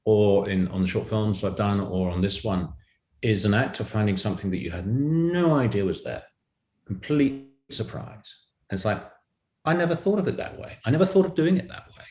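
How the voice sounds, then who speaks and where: A man's voice: on the low side, animated, moderate pace, a little mellow. A man speaking conversationally as a writer in an interview, with a roomy, echoey sound.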